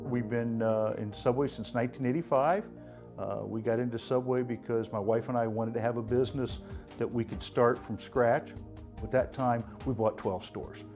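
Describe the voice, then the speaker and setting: A man's voice: mid-range voice, fairly even intonation, moderate pace, music in the background. A middle-aged man speaking as a franchise owner in an interview testimonial.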